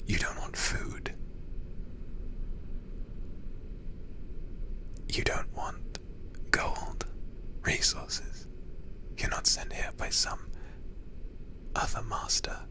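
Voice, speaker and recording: A man playing a seductive, menacing demon lord in an ASMR roleplay, teasing and condescending in a breathy voice with drawn-out pauses. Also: natural voice. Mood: quiet mockery. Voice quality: very deep, breathy whisper-heavy voice. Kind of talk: ASMR roleplay, close whispered delivery.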